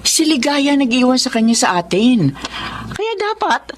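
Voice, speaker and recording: A Filipino actress playing a practical, frank middle-aged wife in a Tagalog radio drama. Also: female voice, high-pitched, big pitch swings, a little slow, slight background noise. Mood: concerned, frank.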